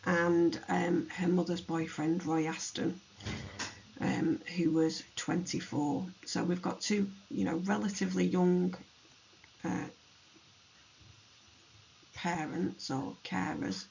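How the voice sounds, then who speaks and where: A podcast host with a hoarse, gravelly voice from allergies, narrating a true-crime history thoughtfully with many pauses. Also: mid-range voice, fairly even intonation, darkish tone, highs cut off, slight background noise.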